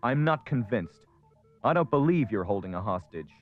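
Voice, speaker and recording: An actor playing a stern, suspicious space commander in a cartoon adventure, brisk and commanding. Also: male voice, mid-range voice, sing-song, narrow, tinny audio, faint music behind, close to an everyday voice.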